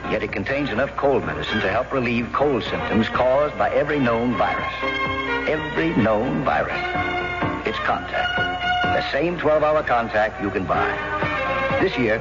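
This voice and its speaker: A man reading a scripted radio commercial as an emphatic, deliberate pitchman. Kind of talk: scripted cold medicine radio ad. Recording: dull radio, loud music behind. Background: loud music.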